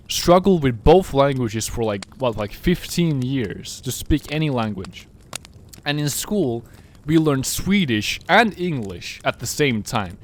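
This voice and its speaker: A young man from Finland whose first languages are Russian and Finnish, rambling and swearing freely in casual podcast chat. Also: mid-range voice. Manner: rambling, repeats words, swears often. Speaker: podcast co-host.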